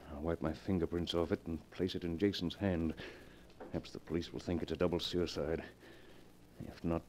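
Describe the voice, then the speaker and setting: A man's voice: sits low, a little mellow, lightly acted. An American man playing a paranoid, imperious businessman with a violent streak in 1940s radio drama style. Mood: grim, furtive. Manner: imperious, menacing. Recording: noisy old 1940s radio recording.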